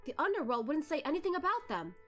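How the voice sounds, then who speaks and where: A woman voicing a sharp-witted, teasing young male investigator who questions pointedly. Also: high-pitched, big pitch swings, fast, soft background music, pitched above the performer's own voice. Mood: pressing.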